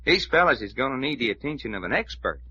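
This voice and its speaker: An actor playing a folksy, wisecracking Southern US sidekick with a colloquial drawl, in an old-time radio serial. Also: male voice, mid-range voice, very animated, quick pace, pushed and bright. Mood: straining, joking. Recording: dull, noisy old radio recording.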